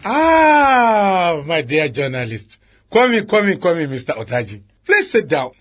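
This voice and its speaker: An actor with a Nigerian accent playing a cynical, patronising senior police chief in a radio drama, theatrical and full of proverbs. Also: male voice, a little high, sing-song, measured pace, faint music behind. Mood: effusive, sardonic.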